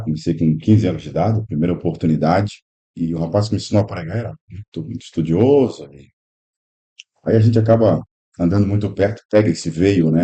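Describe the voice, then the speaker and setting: A man's voice: deep voice, warm tone. A man in his thirties, a pastor and teacher, explaining his ideas reflectively in a podcast conversation, with many "né?" tags. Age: 30s. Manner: reflective, explanatory, speaks in long run-on phrases with frequent "né?". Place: improvised room at a pastors' convention.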